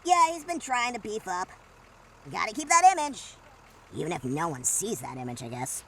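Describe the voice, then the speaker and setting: A woman's voice: medium pitch, very animated, normal speed, fairly bright tone. A woman playing a jaded, irritable gate guard in an audio drama, grumbling sardonically. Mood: sardonic.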